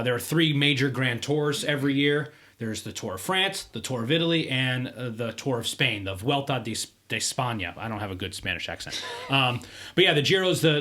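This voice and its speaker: A man in the host seat of a relaxed podcast conversation, his voice sitting at an ordinary middle pitch. He talks loosely and keeps tossing in "like" and "right?" as he goes. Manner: relaxed, many "like" and "right?" fillers. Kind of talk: casual chat.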